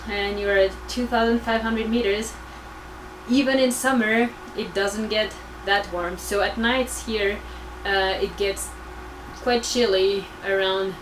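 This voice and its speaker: A woman in her twenties giving explanatory answers as a research crew member, speaking to students. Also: mid-range voice. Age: 20s. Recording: noisy room recording.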